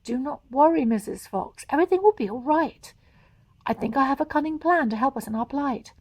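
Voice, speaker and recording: A woman's voice: high-pitched, expressive, a little fast, a little mellow, dry recording, pitched above the performer's own voice. A woman voicing a gallant, eager fox husband in a storybook, courteous and full of exclamations. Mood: reassuring.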